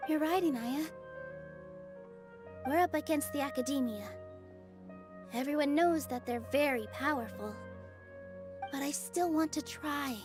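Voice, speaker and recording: Casting: young female stage dancer, very high voice, sincere, gentle yet determined, game dialogue over music. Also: a little slow, long pauses. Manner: sincere, polite, encouraging. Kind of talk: anime game dialogue acting. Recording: music behind the voice. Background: background game music.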